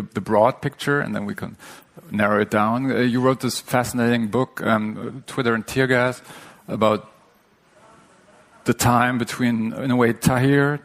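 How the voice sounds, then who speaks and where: A man co-hosting a live podcast on stage, hesitant, with many fillers and restarts. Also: mid-range voice. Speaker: podcast co-host. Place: stage before a live audience.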